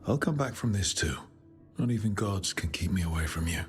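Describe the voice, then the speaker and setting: Game hero, deep soft male voice, charming, tender, gently teasing, steadfast, faint music behind. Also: expressive, brisk. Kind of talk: intimate video game dialogue.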